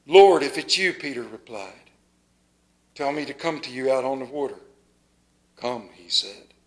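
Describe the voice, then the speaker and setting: A middle-aged man, a pastor, preaching a lively sermon in a small church, reading scripture and moving around the pulpit with dramatic pauses. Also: mid-range voice, rough recording. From United States. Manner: animated, moves about the pulpit, dramatic pauses. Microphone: stand mic at pulpit, far camera.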